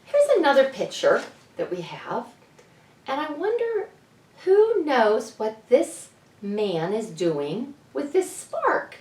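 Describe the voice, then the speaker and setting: A woman's voice: a little high, wildly swooping pitch, slow pace, a little mellow. A woman presenting a children's storytime in a teacherly way, putting questions to young listeners. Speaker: children's author presenting at a library story event. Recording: roomy echo, distant microphone.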